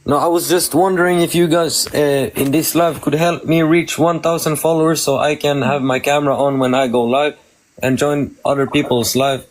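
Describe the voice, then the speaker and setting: A man from Norway, a guest on a live stream, speaking in a hesitant, halting way. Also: fairly high pitch, steady tone, low-quality recording.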